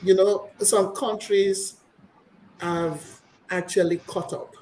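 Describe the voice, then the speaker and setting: A woman's voice: medium pitch, steady tone, slow, a little mellow, a little hiss or hum, rough recording. A woman guest in an interview, explaining her views on business at length with frequent restarts. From Nigeria. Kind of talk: conversational interview.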